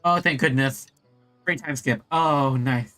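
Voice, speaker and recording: A woman's voice: very deep voice, a little slow, a bit bright, faint music behind. A woman giving casual, rambling and chatty commentary while playing a video game as a streamer.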